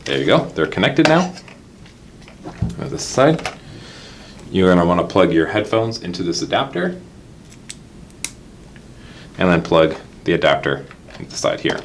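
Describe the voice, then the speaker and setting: Low-voiced man giving a product tutorial. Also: sing-song, a little slow, pauses often, a little hiss or hum. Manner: step-by-step explaining. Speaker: product demonstrator. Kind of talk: casual instructional product tutorial.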